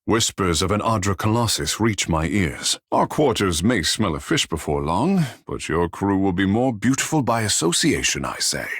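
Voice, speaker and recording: A man playing a vain, flirtatious, sea-blessed godlike artist in a video game, husky and theatrical, with florid, playful delivery. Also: sits low, big pitch swings, normal speed, hardly any pauses, a bit bright, dry recording, clean studio sound, full character voice. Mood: proud, dramatic. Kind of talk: video game character dialogue.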